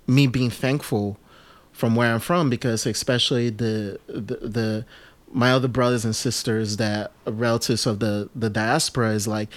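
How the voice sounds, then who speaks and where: A man hosting a casual interview podcast, rambling with many fillers and restarts and lively asides. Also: medium pitch, no echo. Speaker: podcast host.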